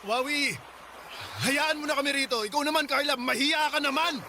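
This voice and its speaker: A man's voice: very high voice, steady tone, moderate pace, bright, forceful tone, a little hiss or hum, full character voice. A man acting a fed-up husband in a radio drama, firm and pleading as he tries to stop a quarrel. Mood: exasperated.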